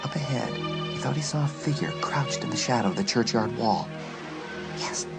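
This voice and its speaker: A man's voice: medium pitch, expressive, moderate pace, vocal fry. A man narrating a horror audiobook in a suspenseful, dramatic storytelling manner. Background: loud music.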